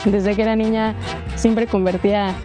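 A woman's voice: mid-range voice, little pitch movement, moderate pace. A twenty-six-year-old woman with a Mexican accent, giving a personal interview testimonial as a singer-songwriter. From Yucatán, Mexico. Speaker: singer-songwriter, contest participant. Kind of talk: interview testimonial in a promo video. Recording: guitar music under the voice.

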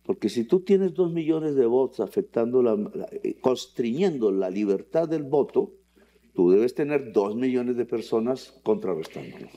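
A man answering an interview question in a measured, explanatory way. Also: mid-range voice, mellow. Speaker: interview guest.

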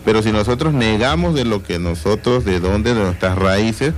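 A man hosting a radio interview, speaking in a measured way and tagging his points with rhetorical questions. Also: fairly low pitch. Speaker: radio host. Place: radio studio. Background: music.

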